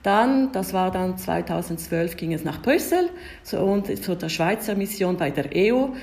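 A woman in her forties from Ticino whose native language is Italian, speaking German with an Italian-Swiss accent as a diplomat guest on a podcast, hesitant and full of filler sounds but lively. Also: fairly low pitch, moderate pace. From Ticino, Switzerland. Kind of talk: podcast interview storytelling.

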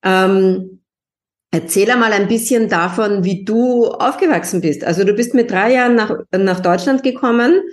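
A woman's voice: mid-range voice, normal speed. A woman, hosting a podcast and asking her guest encouraging questions. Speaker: podcast host, linguist. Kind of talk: podcast interview.

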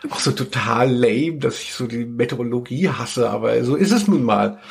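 A middle-aged man talks as a podcast host at a medium pitch. His delivery is casual and conversational, with an ironic, tongue-in-cheek way of putting things. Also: normal speed, vocal fry.

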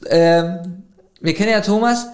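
A man's voice: high-pitched, measured pace, a little mellow. A man co-hosting a podcast in casual chat, keeps asking his partner questions and reads plot summaries aloud. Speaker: podcast co-host.

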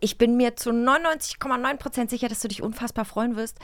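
A woman's voice: fairly high pitch, fast, fairly bright tone. A woman chatting casually as a podcast co-host, playful and teasing.

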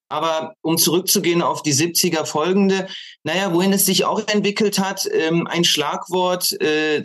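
A man speaking as a podcast guest, reflecting on politics in halting, deliberate phrases with emphatic lists. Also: a little high, fairly bright tone.

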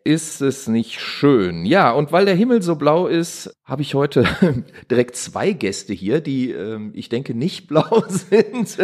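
A man's voice: mid-range voice, big pitch swings, takes it slowly, a little mellow. A man hosting a casual podcast interview in a playful, jokey way. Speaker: podcast host.

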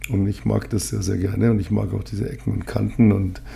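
A man's voice: fairly low pitch, brisk, creaky, slightly rough. A man, hosting a casual solo podcast and rambling with many fillers. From Munich, Germany. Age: 50s. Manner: rambling, frequent fillers, relaxed. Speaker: podcast host, agency owner.

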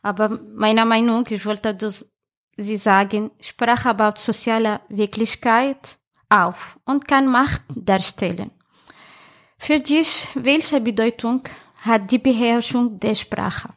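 A woman with a fairly high voice, working as an interviewer. She puts prepared questions in careful, formal wording. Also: takes it slowly, a bit bright.

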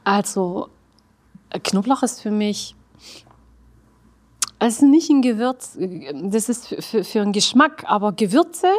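A woman's voice: mid-range voice, lively intonation, measured pace, pauses often. A woman whose first language is Arabic, a guest on a talk show, earnestly explaining herbs with many fillers and restarts. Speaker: guest, esoteric herbalist. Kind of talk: casual talk-show conversation.

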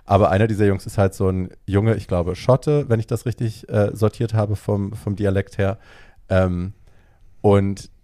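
A man co-hosting a podcast, casually retelling a film's plot in a lively way and dropping in English phrases. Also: fairly low pitch, normal speed, no echo, clean studio sound. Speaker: podcast co-host. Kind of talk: casual chat retelling a film.

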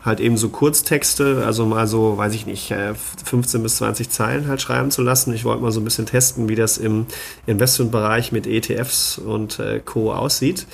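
Slightly creaky male podcast co-host. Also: fairly low pitch, steady tone, normal speed. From Germany. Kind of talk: casual podcast chat.

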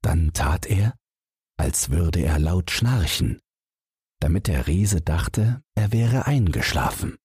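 Deep, husky male storyteller. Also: expressive, slow, a little mellow, no room sound, studio quality. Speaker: audiobook narrator. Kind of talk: bedtime fairy-tale narration, scripted.

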